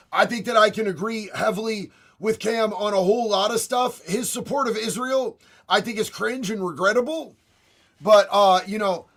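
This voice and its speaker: A man hosting a casual livestream and answering viewer chat in a blunt, profane, slangy way. He has a raspy voice and calls his viewers bro and homie. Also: high-pitched, fairly bright tone. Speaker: livestream host reading chat.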